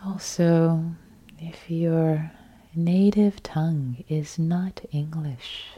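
A woman from Iran whose first language is Farsi, guiding a meditation in a slow, soft, partly whispered voice. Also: deep voice, steady tone, slightly rough, lo-fi sound. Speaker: meditation teacher. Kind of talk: guided loving-kindness meditation.

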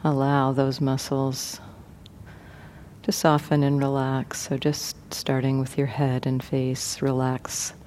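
Gentle woman guiding meditation, faint noise. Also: a bass voice, monotone. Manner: gentle, unhurried, with many pauses. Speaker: meditation teacher. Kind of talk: guided meditation.

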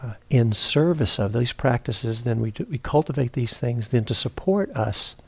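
A man teaching a guided meditation, speaking in a halting, reflective way as he searches for words. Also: mid-range voice, vocal fry, breathy edge, no echo. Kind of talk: guided meditation talk, unscripted. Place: meditation retreat center, indoors.

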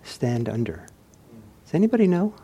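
A man giving an informal meditation talk, reflective and hesitant as he searches for words, with a soft, husky voice. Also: fairly high pitch, big pitch swings, moderate pace, lo-fi sound. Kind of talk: informal spoken teaching with anecdotes.

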